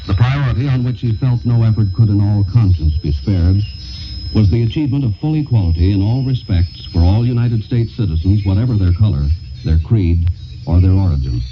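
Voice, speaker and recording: A man reading a solemn, measured, formal editorial in the style of a 1960s radio broadcast, over shortwave. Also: on the low side, expressive, highs cut off, over music. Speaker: radio commentator and announcer. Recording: noisy, band-limited 1960s shortwave radio recording. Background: loud shortwave static and noise.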